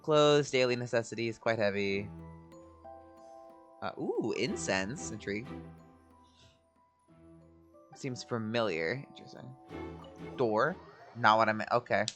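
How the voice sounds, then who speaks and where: A man commentates casually on a game in a medium-pitched voice. He jokes and mutters in clipped fragments, with light music in the background. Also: expressive, measured pace, pauses often. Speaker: gaming streamer.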